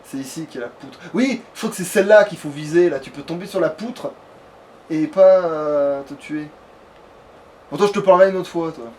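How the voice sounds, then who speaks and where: High-voiced man, noisy recording. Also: fairly quick, a little mellow, far from the mic. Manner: swearing, joking. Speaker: let's player. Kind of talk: casual gaming chat.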